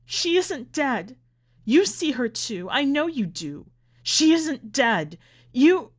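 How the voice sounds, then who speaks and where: An anguished immigrant mother, acted out by a female audiobook reader, pitched well above an everyday voice. She is grieving and furious, pleading insistently as if on the edge of sobs. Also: big pitch swings, slow pace, heavily acted. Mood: screaming, desperate. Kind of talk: dramatic audiobook character reading.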